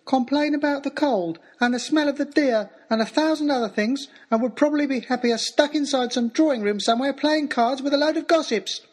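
A man's voice: very high-pitched, brisk, hardly any pauses, voice pushed up. A man voicing a fierce, bad-tempered boy, scornful and complaining, quoted within a story.

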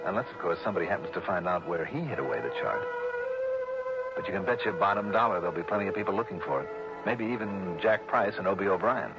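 Radio detective lead: wry, level-headed, low but lively voice, old broadcast. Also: male voice, fast, lo-fi sound, over loud music. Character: brisk, level-headed male insurance investigator, wry narrator. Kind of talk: radio detective drama acting and narration.

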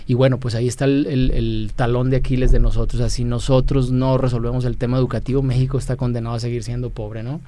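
A man's voice: mid-range voice, level tone, fast talker, hardly any pauses, warm tone. A man in his forties, an educator and interview guest, telling his own story casually with bits of quoted dialogue. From Colombia. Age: 40s.

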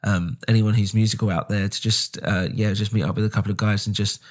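A man with a slightly low voice talks through a solo podcast update in a loose, rambling way. He sounds nasal from a cold, and he stumbles over restarts and fillers. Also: fairly even intonation, quick pace. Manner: rambling, frequent ums and restarts. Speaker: podcast host.

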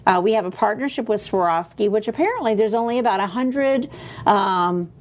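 A woman on a talk show describes the lockets she sells in a casual, warm way. She is chatty and clearly fond of the pieces. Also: mid-range voice, lively intonation, a little fast. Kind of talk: casual product chat.

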